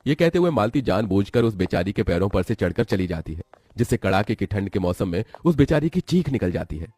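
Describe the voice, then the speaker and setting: A man's voice: on the low side, very animated, brisk, darkish tone, husky, dry recording. A man narrating a scripted moral story.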